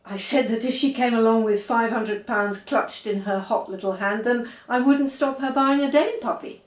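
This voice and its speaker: A woman's voice: fairly high pitch, normal speed, pitched above the performer's own voice, lightly acted. A woman voicing a mild, hesitant dad, echoey room. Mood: defensive. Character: fond father, mild, teasing and easygoing man.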